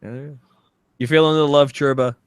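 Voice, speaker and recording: A man's voice: fairly high pitch, fairly even intonation, a little fast, clear, resonant tone, no room sound, studio quality. A man hosting a comedy podcast, bantering casually and teasingly and reading things out for laughs. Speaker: podcast host.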